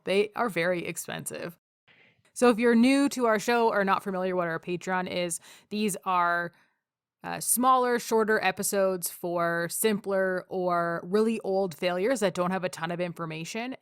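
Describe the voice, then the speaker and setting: A woman's voice: on the low side, clear, resonant tone, no echo, studio quality. A woman with a Canadian accent hosting a podcast, friendly and dryly joking. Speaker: podcast host. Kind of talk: casual podcast chat.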